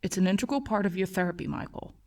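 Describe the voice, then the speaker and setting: A woman voicing a calm male psychiatrist in a horror story, speaking softly in a dry, measured professional monotone. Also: mid-range voice, gravelly, distant mic, voice pushed down. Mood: calm, coaxing. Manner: soft-spoken, measured monotone, formal. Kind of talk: acted horror story dialogue.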